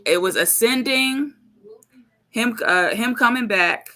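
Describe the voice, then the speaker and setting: A woman co-hosting a podcast, chatting casually and pausing to search for her words. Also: fairly high pitch. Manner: relaxed, searching for words with many fillers. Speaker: podcast co-host.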